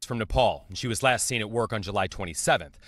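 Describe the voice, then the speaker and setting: A man reporting a local TV news story in a measured, scripted broadcast style. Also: lowish voice, fairly bright tone, no room sound.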